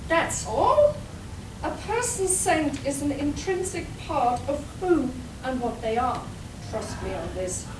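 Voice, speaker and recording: Woman as a grumbling talking cat, high voice, sardonic, lecturing, radio play, echoey noisy hall. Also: far from the mic. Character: small, sceptical, grumbling talking cat in rabbit suit. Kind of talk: live radio play acting.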